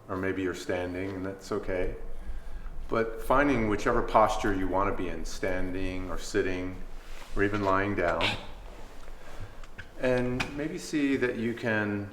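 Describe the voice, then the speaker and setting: A man leading a guided body scan meditation as a mindfulness instructor, speaking gently with unhurried pauses between phrases. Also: sits low. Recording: echoey room, faint background noise.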